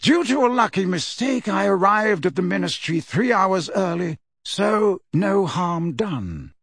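A man reading aloud, voicing a calm, pleasant elderly wizard who speaks in an unhurried way. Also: high voice, vocal fry, husky, dry recording, studio quality, voice pushed up, heavily acted.

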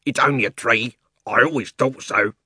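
Man doing a husky, dogged warthog. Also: medium pitch, normal speed, sharp tone, dry recording, clean studio sound. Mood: dismissive. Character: stubborn, dismissive storybook warthog.

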